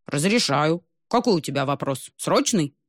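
A woman as a blustering royal sea king father, gruff and brusque, low. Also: lively intonation, normal speed, no room sound, clean studio sound.

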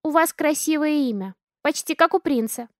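A chatty, curious little girl of four, voiced by a woman reading an audiobook. Her voice sits high and innocent, with bright questioning chatter. The recording is close and completely dry. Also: lively intonation, normal speed, no echo.

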